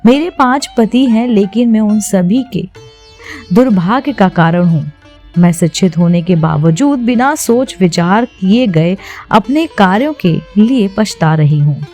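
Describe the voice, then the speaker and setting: A woman's voice: medium pitch, lively intonation, a little slow, mellow. A woman narrator telling a scripted mythological story in a storytelling style. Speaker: narrator of a devotional story channel. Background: plucked-string instrumental music.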